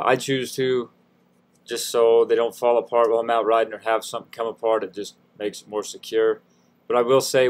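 A man giving a casual, folksy how-to voice-over in an informal, self-deprecating way. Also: medium pitch. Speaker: DIY tutorial host.

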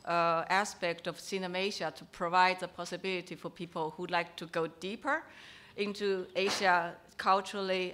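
A woman's voice: mid-range voice, bright, forceful tone. A woman from China moderating a film festival panel on stage, hesitant, with many restarts and fillers. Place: event hall with a panel on stage.

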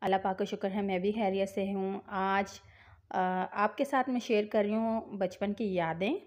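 A woman hosting her own vlog, talking in a chatty, unhurried way with small fillers. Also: mid-range voice, steady tone, a little mellow. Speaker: vlog host. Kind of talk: casual vlog voice-over.

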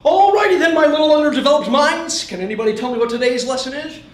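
Man playing manic high-pitched math teacher. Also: expressive, fast. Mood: mocking. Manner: erratic, mocking. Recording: roomy echo.

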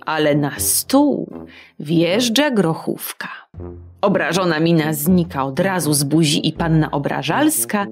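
Woman storyteller, children's audiobook, lively swooping voice, slow and lowish, soft music bed. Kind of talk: expressive children's audiobook narration.

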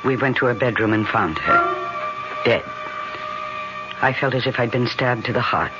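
A man's voice: mid-range voice, a little slow, lightly acted. An actor playing a grief-stricken man narrating a 1970s radio horror tale. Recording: muffled old radio recording, loud music.

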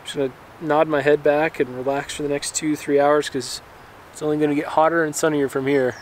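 A man's voice: a little high, little pitch movement, a little fast. A man in his thirties with an American accent, chatting casually and rambling to camera as an outdoor vlogger. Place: outdoors in mountain wilderness. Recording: outdoor ambient noise, wind.

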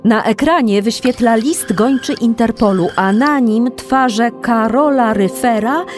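A woman's voice: on the high side, unhurried, darkish tone, faint music behind. A woman narrating a children's radio play in a scripted storytelling style.

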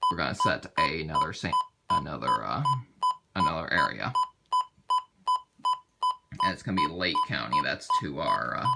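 A man giving a casual, halting how-to walkthrough, thinking aloud as he demonstrates a product. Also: low-pitched, huge pitch swings, vocal fry, loud music behind the voice. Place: indoors at a table. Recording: very noisy home video, clicks and beeps.

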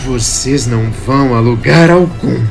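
A woman's voice: very deep voice, unhurried, creaky, full character voice. A woman voicing a mocking villain who gloats as he commands chaos, in an audio drama. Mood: gloating. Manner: taunting, gloating. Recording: music bed behind.

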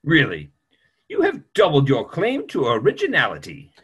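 A man acts a fussy, proper gentleman in a period stage play. His voice is sharp and raspy, a little high, leaping theatrically through formal lines. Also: wildly swooping pitch, normal speed, full character voice. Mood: admiring. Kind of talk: online play reading.

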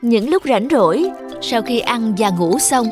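A woman's voice: a little high, expressive, a little slow. A woman narrating a scripted children's story as a storyteller. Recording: children's music behind narration. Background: jingly children's music.

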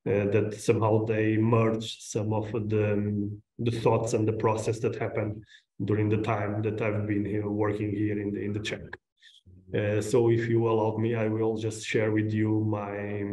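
Hesitant Portuguese man, mellow, roomy sound. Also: fairly low pitch, flat delivery. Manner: hesitant, halting delivery. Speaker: guest lecturer, architect. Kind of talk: informal online lecture. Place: indoors on a video call, roomy sound. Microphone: video call at desk distance. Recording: roomy, echoing video-call sound.

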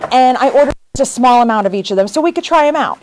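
Thirties woman, chatty shop owner on a live stream, quick to laugh. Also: sits high, animated, slight background noise. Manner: chatty, laughs often, jumps between topics. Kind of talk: casual live stream chat. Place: indoor sewing shop studio with bags on shelves.

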